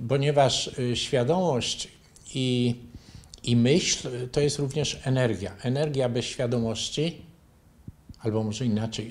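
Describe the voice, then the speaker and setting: An older man from Poland answering interview questions in a measured, didactic, explanatory way. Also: medium pitch, a little mellow. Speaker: interview guest, bioenergy therapy teacher and author. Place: furnished living room, indoors.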